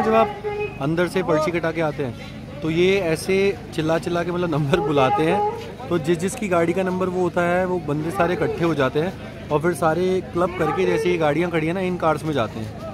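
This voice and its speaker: A young man talks in a fairly high voice, vlogging casually into a phone. He explains informally and repeats himself, with clear music behind him. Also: a little fast, over a noisy crowd. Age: young adult. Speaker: travel vlogger. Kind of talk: casual explanatory vlog talk to camera. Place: outdoors by roads and parking, later in a parked jeep. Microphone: phone at arm's length.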